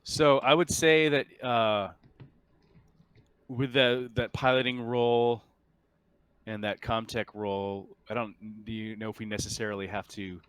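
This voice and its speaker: A man narrating and refereeing a tabletop roleplaying game as game master, in a halting, deliberate way. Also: mid-range voice, steady tone, fairly bright tone, smooth, dry recording.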